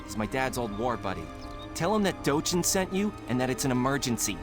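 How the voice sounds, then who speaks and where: An actor playing an eager, insecure young guard leader in a video game, earnest and anxious to help. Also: male voice, medium pitch, very animated, fairly quick, music in the background, lightly acted. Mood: helpful, urgent. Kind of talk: dramatic game cutscene acting.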